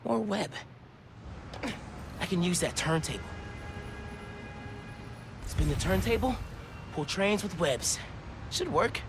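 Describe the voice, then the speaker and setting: An actor playing an upbeat, quick-witted teenage boy superhero in a video game cutscene, talking casually and eagerly to himself. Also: high voice, expressive, vocal fry, noisy background, exaggerated voice. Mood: focused.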